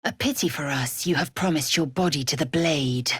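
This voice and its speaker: An actress voicing a fierce, proud githyanki warrior woman in a video game, husky and breathy, with a clipped, imperious, disdainful delivery. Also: low-pitched, normal speed, no echo, clean studio sound. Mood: haughty, indignant. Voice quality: husky, raspy, breathy edge. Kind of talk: video game character voice acting.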